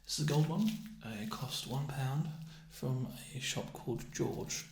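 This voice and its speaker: A man doing a soft-spoken ASMR roleplay, slipping into whispers, with an awkward, apologetic, deadpan manner. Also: medium pitch, unhurried, vocal fry, echoey room, slight background noise. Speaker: ASMR roleplay performer, playing a festivity assessor. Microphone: binaural ASMR microphone up close.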